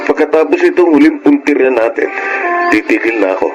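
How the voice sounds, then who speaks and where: An actor playing a remorseful, worried thief in a Filipino radio drama. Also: male voice, medium pitch, animated, a little fast, dull audio, lightly acted. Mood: remorseful, heavy. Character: remorseful male thief worried for his sick mother. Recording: dramatic music behind.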